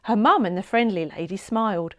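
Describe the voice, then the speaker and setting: A woman reading a children's storybook aloud as an expressive read-along narrator, with dramatic emphasis. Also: medium pitch, brisk.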